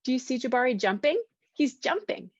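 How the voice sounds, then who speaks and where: A woman reading a storybook aloud to young children, expressive and simply phrased, stopping to ask them questions. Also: sits high, a little fast, no room sound. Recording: dull, lo-fi sound.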